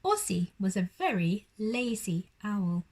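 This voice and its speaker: A woman's voice: mid-range voice, big pitch swings, takes it slowly, darkish tone. A woman reading a children's bedtime story expressively, like a primary school teacher addressing young children.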